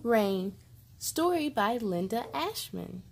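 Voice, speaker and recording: A woman's voice: on the high side, big pitch swings, slow pace, creaky, noisy background. A woman hosting a children's story time in a sing-song way, talking directly to young children.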